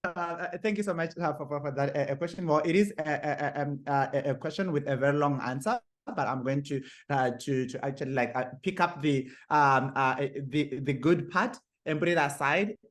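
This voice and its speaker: A young man from Zimbabwe telling his story as a podcast guest. He stumbles through many fillers and repeated words and laughs often. His voice sits a little high for a man. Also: normal speed, hardly any pauses. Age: young adult. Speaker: guest author. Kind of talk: podcast interview.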